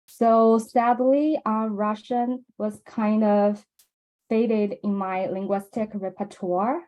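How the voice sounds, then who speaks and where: A woman's voice: medium pitch, fairly even intonation, highs cut off. Chinese-accented woman giving an academic talk. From mainland China. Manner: careful, measured, with many ums and uhs. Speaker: presenter at a research symposium. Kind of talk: academic conference presentation.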